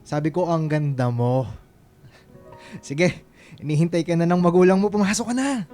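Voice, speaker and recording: A man playing a gentle, hesitant, troubled young man with a Filipino accent in a radio drama. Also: on the high side, normal speed, soft background music, natural voice. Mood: confused, evasive.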